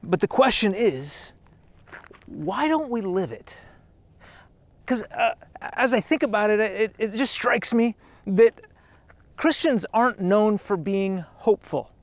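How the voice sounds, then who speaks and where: A man's voice: high voice, expressive, slow pace, long pauses, dry recording. A man in his thirties preaching a sermon outdoors on a trail, earnest and conversational, with frequent restarts. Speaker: pastor.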